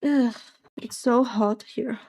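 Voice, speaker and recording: Soft-voiced slow British woman. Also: fairly high pitch, poor audio. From Britain. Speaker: streamer. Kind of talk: casual livestream chat.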